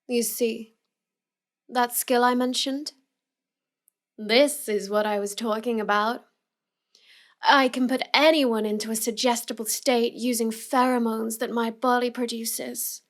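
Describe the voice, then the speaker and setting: Slow-talking, smug young villainess, acted by a woman. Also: a little high, steady tone. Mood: smug, gloating. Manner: slow, smug, taunting delivery. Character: cute smug young villainess, teasing, mocking, manipulative. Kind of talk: scripted roleplay audio addressed to the listener.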